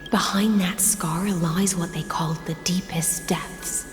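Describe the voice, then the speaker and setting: A woman playing an ancient, dignified lady of the waters in a game, grave and wise, speaking formally in a hushed tone. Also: low-pitched. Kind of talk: dramatic game voice acting. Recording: echoey long reverb, faint music.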